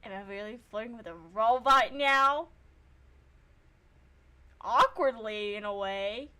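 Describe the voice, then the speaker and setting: A young woman with a slightly high voice streams a game. She moves between reading narration and casual, animated commentary. Her manner is self-deprecating, with easy swearing. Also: takes it slowly, clear, resonant tone.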